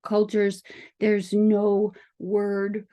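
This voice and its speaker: A woman who speaks English natively, giving an informal explanatory lecture as an educator in a careful, halting manner. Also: medium pitch, monotone, mellow. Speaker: teacher educator explaining a learning principle.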